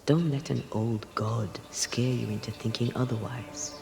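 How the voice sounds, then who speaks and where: An actor playing a calm, loyal companion in a video game, steady and intimate in manner. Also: male voice, mid-range voice, a little slow, gravelly, music in the background. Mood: tender, reassuring. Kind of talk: naturalistic game drama acting.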